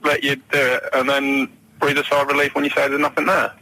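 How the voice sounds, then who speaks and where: A man's voice: mid-range voice, level tone, quick pace, gravelly, bright, forceful tone, low-quality recording. A young man chatting casually on radio, giving matter-of-fact, reassuring explanations as a medical student guest. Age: young adult.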